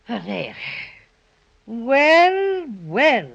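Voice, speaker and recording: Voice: high voice, vocal fry, a bit bright, heavily acted. A performer playing a small, plucky, self-important toy bear in a 1930s children's radio drama. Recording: dull vintage 1930s radio recording.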